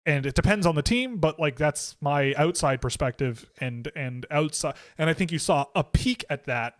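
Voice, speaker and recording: A man's voice: fairly high pitch, moderate pace, no echo. Chatty man with a dry voice. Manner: rambling and conversational, many fillers and restarts. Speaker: host of a hockey draft livestream. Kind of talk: casual livestream commentary answering chat.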